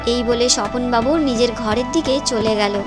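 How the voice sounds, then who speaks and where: A woman's voice: a little high, moderate pace, over loud music. A woman narrator reading a scripted story in a storytelling style.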